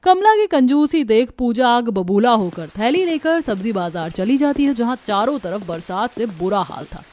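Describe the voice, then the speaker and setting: A woman's voice: a little high, animated, moderate pace, a little mellow. A woman storyteller reading scripted narration for a family cartoon.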